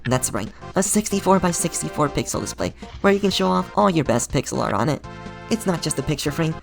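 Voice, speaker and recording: An enthusiastic man narrates a scripted tutorial in a playful way. His delivery swings up and down with plenty of life, and his voice carries a gravelly fry. Soft music plays quietly underneath. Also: a little high, very animated, a little fast. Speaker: channel host.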